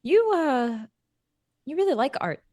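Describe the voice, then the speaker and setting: A woman playing a tabletop roleplaying game, slipping casually between narrating and speaking in character, with hesitant pauses. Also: high-pitched, very animated, mellow, no room sound, studio quality.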